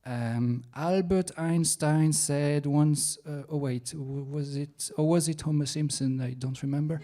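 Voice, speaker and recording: A man's voice: fairly high pitch, fairly even intonation, mellow. Young french guy on stage, science comedy bit, lots of ums, pokes fun at himself. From France. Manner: self-mocking, halting with many ums, playful asides. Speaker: stand-up comedian and researcher. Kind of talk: science stand-up comedy set.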